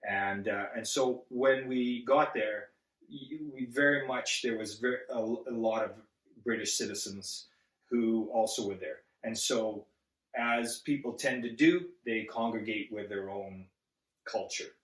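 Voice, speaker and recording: A man with a fairly low voice answers interview questions, often restarting and stumbling over his words as he speaks earnestly. He sounds set back from the microphone. The room around him is roomy and echoing. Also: a bit bright, distant mic. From Zambia. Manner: halting, many restarts and fillers, earnest. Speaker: guest. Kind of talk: casual interview.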